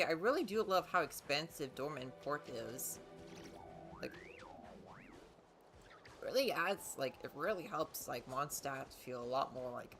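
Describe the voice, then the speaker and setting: A game streamer giving casual, halting commentary while playing through a story. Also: mid-range voice, creaky, pushed and bright. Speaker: livestream host, gamer.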